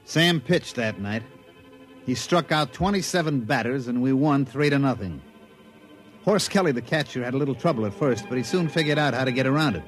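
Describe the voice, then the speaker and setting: A man's voice: mid-range voice, lively intonation, fairly quick, close to an everyday voice. An actor playing a wry baseball team man who tells a tall tale in a colloquial, folksy way in an old radio drama. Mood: wry recounting. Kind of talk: 1950s radio drama acting, folksy narration. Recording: vintage radio broadcast with music.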